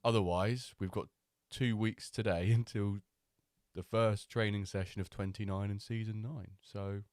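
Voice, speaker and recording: A man hosting a live stream, chatting loosely with many pauses and fillers, still a little nasal from a cold. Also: fairly low pitch, steady tone, a little mellow, dry recording, studio quality. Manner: chatty, rambling, with many ums and restarts. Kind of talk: casual live-stream chat.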